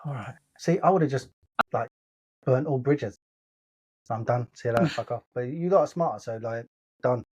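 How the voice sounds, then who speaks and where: A man's voice: medium pitch, moderate pace, pauses often, a little mellow, slightly rough, low-quality recording. A man co-hosting a casual podcast chat, blunt and sweary, laughing often. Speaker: podcast co-host.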